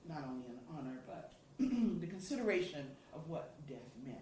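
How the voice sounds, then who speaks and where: A woman's voice: low voice, big pitch swings, normal speed, warm tone, a little hiss or hum. A woman poet speaking thoughtfully and earnestly about her writing at a symposium. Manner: thoughtful, earnest, with small restarts. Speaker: contributing poet. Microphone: far from the microphone. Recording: distant voice in a very echoey hall.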